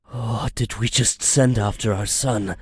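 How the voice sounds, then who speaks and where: A man voicing an anxious, desperate father of a missing boy in a visual novel, pleading and halting. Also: fairly low pitch, steady tone, darkish tone, slightly rough. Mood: fearful. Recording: music behind the voice.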